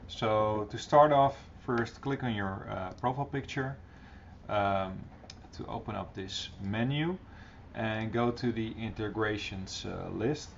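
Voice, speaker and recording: A middle-aged man presenting an informal, encouraging software tutorial in a casual style, recorded on a computer microphone. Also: lowish voice, slow, creaky. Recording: dull, slightly noisy computer recording.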